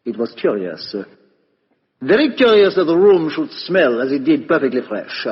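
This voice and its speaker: A muffled vintage radio recording with long hall reverb carries a shrewd male foreign detective. His pitch swings widely as he reasons deliberately and courteously, building drama with rhetorical questions. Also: big pitch swings. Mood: intrigued, methodical. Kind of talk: 1950s radio play acting.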